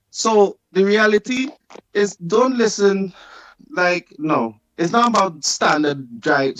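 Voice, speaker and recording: High-voiced Trinidadian man ranting. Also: fairly bright tone, dry recording, low-quality recording. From Trinidad and Tobago. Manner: laughs a lot, repeats himself, emphatic. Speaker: caller. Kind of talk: animated phone-in rant.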